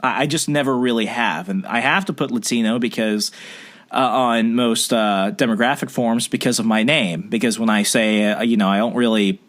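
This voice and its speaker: A man's voice: medium pitch, normal speed. A man hosting a podcast, chatting casually with a guest, joking and drifting into rants. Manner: animated, joking, prone to rants. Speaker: podcast host. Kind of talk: casual conversation.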